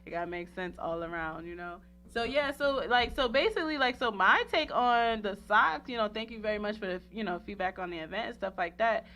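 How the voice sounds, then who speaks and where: A woman's voice: medium pitch, brisk, few pauses, pushed and bright, soft background music. A woman hosting a casual radio interview, effusive and slangy, rambling and often repeating herself. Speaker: radio show host and interviewer. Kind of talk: casual promotional interview chat.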